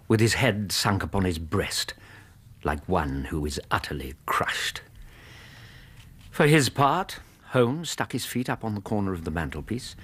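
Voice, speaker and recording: An actor playing a loyal, earnest English gentleman companion who narrates and speaks in a radio drama. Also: sits low, slightly rough, full character voice. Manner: earnest, storytelling.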